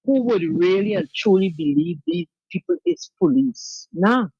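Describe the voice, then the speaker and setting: A woman's voice: mid-range voice, lively intonation, unhurried, dark tone, slightly rough, dry recording, lo-fi sound. Guyanese woman calling in by phone. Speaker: caller. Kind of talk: call-in commentary. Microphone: phone call.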